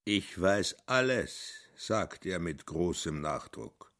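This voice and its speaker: A man narrating a literary radio play in a deliberate, emphatic manner. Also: deep voice, lively intonation, a bit bright, full character voice.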